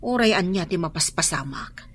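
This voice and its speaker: A woman's voice: fairly low pitch, expressive, fairly quick. A woman playing a plain-spoken, feisty middle-aged country wife in an Ilocano radio drama, with an Ilocano Filipino accent. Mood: reproachful.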